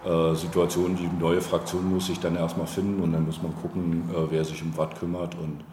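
A low male voice in a political interview, set in a roomy, echoing space. He feels his way through long, wordy answers, often hesitating to find the next word. Some faint noise sits behind him. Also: little pitch movement, brisk, warm tone. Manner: hesitant, searching for words, wordy.

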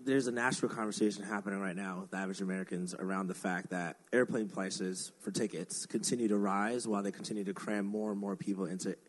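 Husky, lowish-voiced man. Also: fairly even intonation, fast talker, hardly any pauses, poor audio. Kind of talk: conversational radio commentary.

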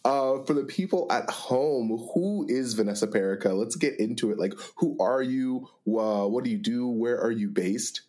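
A man's voice: mid-range voice, moderate pace, hardly any pauses, dark tone. A young American man, a music teacher, hosting an interview podcast in a casual, friendly way with many likes and uhs. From United States.

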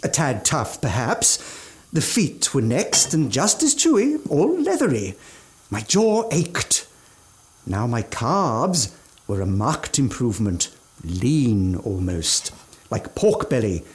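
An actor playing an eccentric, aging gourmand mountaineer with ghoulish relish and fussy, old-fashioned genteel speech in a radio drama. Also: male voice, medium pitch, sing-song, slow pace. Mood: savoring relish. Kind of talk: theatrical radio drama monologue.

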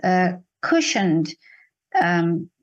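A woman's voice: lowish voice, sing-song, drawn-out words, highs cut off. Hesitant South African woman in her sixties. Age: 60s. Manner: hesitant, searching phrasing with repeats. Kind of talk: reflective casual conversation.

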